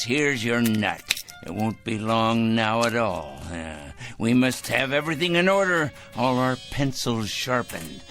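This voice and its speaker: An actor playing a fanatical, self-righteous, paranoid man, ranting excitably in theatrical radio drama style. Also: mid-range voice. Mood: smug, gloating. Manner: ranting, excitable, muttering and crooning to a pet. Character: fanatical, paranoid, self-righteous male crank obsessed with punishing evildoers.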